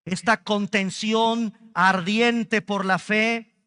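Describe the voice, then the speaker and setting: A Mexican man preaching to his congregation with a high, bright and smooth voice. He talks slowly and leans hard on key words. His voice rings through a church hall with a long reverb. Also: steady tone. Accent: Mexican Spanish. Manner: emphatic preaching, stretches key words, addresses congregation directly. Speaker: pastor. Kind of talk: sermon. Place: church service.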